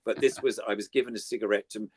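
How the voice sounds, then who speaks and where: An older English man with a low, deep voice, recorded close and dry. He talks casually and at length, wandering through anecdotes like a guest looking back on his working life. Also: steady tone, quick pace, a little mellow, no echo. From United Kingdom. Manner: chatty, anecdotal, rambling. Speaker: puppeteer, interview guest. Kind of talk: casual reminiscing chat.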